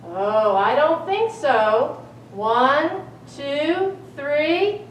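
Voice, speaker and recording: A big, cocky goat from a children's puppet show, acted by a woman, talks with a teasing swagger. The voice swoops widely and is fairly high for a woman, in an echoey room. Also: animated, drawn-out words, heavily acted. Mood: defiant. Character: big confident billy goat, teasing older brother. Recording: roomy, distant mic, faint noise.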